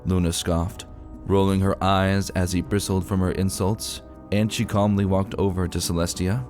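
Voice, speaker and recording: A man's voice: low-pitched, flat delivery, normal speed, darkish tone. A man reading a fantasy story aloud in a solemn, theatrical manner. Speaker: audiobook narrator. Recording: music behind the voice. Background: music.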